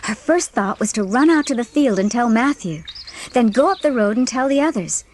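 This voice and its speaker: A woman's voice: on the high side, lively intonation, moderate pace, a little mellow, a little hiss or hum. A woman reading a classic novel as an audiobook narrator, in scripted storytelling style.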